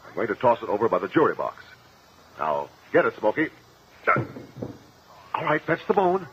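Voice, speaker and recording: An actor playing a shrewd, courteous attorney in a 1950s radio courtroom drama, questioning in a measured, formal, insistent way. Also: male voice, medium pitch, wildly swooping pitch, a little hiss or hum. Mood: courteous, assured. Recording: dull, lo-fi vintage radio recording.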